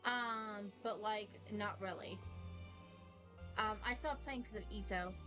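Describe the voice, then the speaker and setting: A woman's voice: medium pitch, takes it slowly, a bit bright, soft background music. A woman streaming a game with casual, rambling commentary, often saying "like". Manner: rambling, peppers talk with "like".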